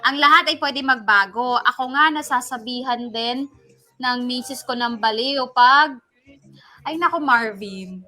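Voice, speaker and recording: Filipina radio host, high bright voice, jokey and casual. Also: female voice, unhurried. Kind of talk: casual radio banter, reading out messages and credits.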